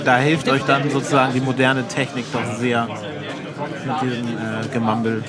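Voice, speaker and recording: A man hosting a casual interview, asking questions in a halting way with restarts and filler sounds. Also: mid-range voice, monotone, loud music behind the voice. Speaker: interviewer host. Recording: loud crowd chatter behind.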